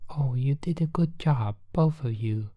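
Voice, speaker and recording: A whispering storyteller voicing Goo, a small excitable creature companion, in a high, delighted voice. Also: measured pace, dull audio, lightly acted. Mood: praising.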